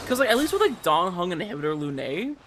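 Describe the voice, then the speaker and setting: A man's voice: sits high, very animated, fast, over music. A man streaming a game with casual chat commentary, rambling along with lots of filler words. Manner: rambling, filler-heavy, frequent "like".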